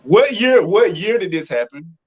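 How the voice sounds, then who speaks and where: A man's voice: high voice, very animated, moderate pace, slightly rough, muffled recording. An American man hosting a casual interview in an informal, slangy way. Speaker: interview host.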